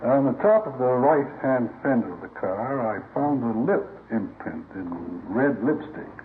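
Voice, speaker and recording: An actor playing a matter-of-fact police crime lab lieutenant, giving precise, formal testimony in a 1950s radio drama. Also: male voice, medium pitch, expressive, a little slow, lightly acted. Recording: old radio recording, narrow, faint noise.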